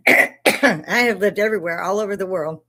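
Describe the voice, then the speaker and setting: A woman's voice: mid-range voice, steady tone, moderate pace, sharp tone. An older woman from Chicago with a Chicago accent, hosting a live stream and telling rambling, laugh-filled stories with plenty of swearing. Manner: laughs often, swears freely, rambling anecdotes. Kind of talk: casual storytelling chat.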